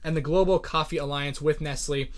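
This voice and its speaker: A man's voice: fairly high pitch, fairly even intonation, fairly quick. A man hosting a podcast, giving informal, explanatory commentary on business and finance. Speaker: podcast host. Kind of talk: earnings call breakdown commentary.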